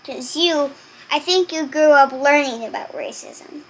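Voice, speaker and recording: A young girl reading aloud and chatting to viewers in a halting, deliberate way. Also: high-pitched, a bit bright, poor audio. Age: child.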